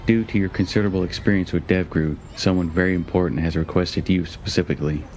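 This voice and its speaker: Man playing a deep-voiced, calculating government agent. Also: fairly even intonation, darkish tone, a little hiss or hum. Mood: formal, insistent. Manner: formal, measured, insinuating. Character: cool, calculating, formal government agency recruiter. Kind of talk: audio drama acting. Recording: music under the dialogue.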